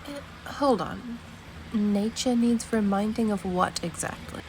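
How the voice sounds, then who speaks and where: A woman voicing a curious, kind but guarded fantasy adventurer in video game dialogue, gentle and deliberate. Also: mid-range voice, a little hiss or hum, low-quality recording. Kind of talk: amateur voice acting of game dialogue.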